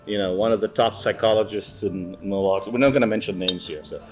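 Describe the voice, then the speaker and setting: A man with a Greek accent, a guest chatting in a bar, speaking haltingly with many fillers and restarts. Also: lowish voice, soft background music. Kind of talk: casual chat.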